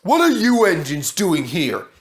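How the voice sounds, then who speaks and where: A man's voice: sits high, wildly swooping pitch, measured pace, pitched above the performer's own voice, full character voice. A man voicing a big, vain, grumpy male steam engine in a haughty, huffy manner. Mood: scornful.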